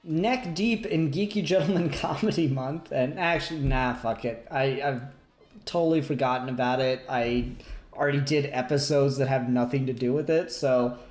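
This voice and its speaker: A man's voice: medium pitch, moderate pace, a little mellow, faint music behind. A man hosting a casual comic book discussion podcast, informal and joking, with some swearing. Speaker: podcast host. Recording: roomy, echoey voice pickup.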